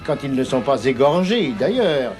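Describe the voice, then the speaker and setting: An actor playing a courteous, cautioning gentlemen's club member in a cartoon, polite and reasoning. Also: male voice, medium pitch, big pitch swings, moderate pace, faint music behind, close to an everyday voice. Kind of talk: French cartoon voice acting.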